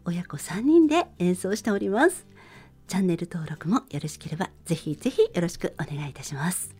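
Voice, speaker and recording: A woman hosting a radio show, speaking politely and gently in a prepared solo narration. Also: fairly low pitch, expressive, a little fast, slightly rough, faint music behind.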